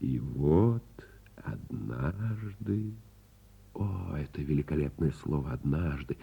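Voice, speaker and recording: Radio play: a gentle bedtime storyteller, slow and lively in intonation, slightly dulled recording. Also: male voice, a bass voice, gravelly, breathy edge, dull audio, full character voice. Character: gentle father-like storyteller telling a bedtime tale.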